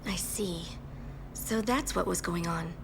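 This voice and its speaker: Creaky, soft-spoken loyal comrade. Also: female voice, on the low side, slow pace. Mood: reassuring. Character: loyal, trusting female comrade, calm and supportive. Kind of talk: scripted video game acting. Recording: clear ambient noise.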